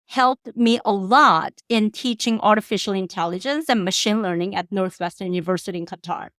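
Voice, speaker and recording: A middle-aged woman from South Korea, a professor answering interview questions in measured, polished, formal phrasing. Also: on the high side, fairly bright tone, clean tone, no room sound, clean studio sound. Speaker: guest professor.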